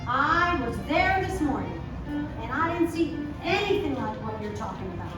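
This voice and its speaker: A woman playing a firm, outspoken village woman in an amateur table reading. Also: high voice. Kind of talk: amateur table-read acting. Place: indoor public venue table reading. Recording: echoey room, distant mic, music behind.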